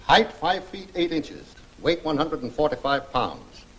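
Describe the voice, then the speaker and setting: An actor playing a brisk American police commissioner with a businesslike, authoritative manner in a 1940s film serial. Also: male voice, on the high side, sing-song, fairly bright tone. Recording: faintly noisy 1940s film soundtrack.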